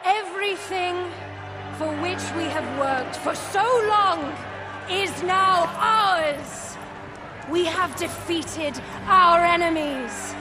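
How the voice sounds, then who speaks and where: Imperious, very high-voiced queen. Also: slow. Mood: triumphant, commanding. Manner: declamatory, grand. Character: triumphant, imperious female ruler addressing her people. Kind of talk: dramatic game cutscene oratory. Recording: loud music and crowd behind.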